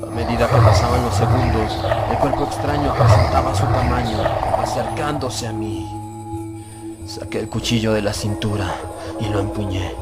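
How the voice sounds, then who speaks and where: A man's voice: medium pitch, steady tone, moderate pace. A man reading a horror audiobook as a dramatic, grave, suspenseful first-person narration. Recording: loud background music under the voice. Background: loud music.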